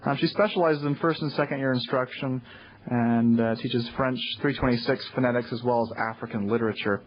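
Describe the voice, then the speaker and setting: A man's voice: medium pitch, steady tone, fairly quick, slight background noise. A man whose first language is Portuguese introduces a speaker formally, tripping over words and correcting himself. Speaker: event host introducing a speaker. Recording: dull, lo-fi lecture recording.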